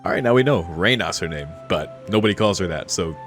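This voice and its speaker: A man's voice: sits low, lively intonation, a little fast, a bit bright, faint music behind. A man reading a visual novel aloud and giving casual, chuckly commentary as a streamer.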